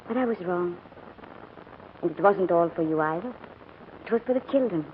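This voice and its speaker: A young woman playing an earnest, tender wartime heroine in 1940s radio drama, speaking with deliberate, emotive phrasing. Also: fairly low pitch, animated, narrow, tinny audio, lightly acted. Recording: thin, noisy old radio recording.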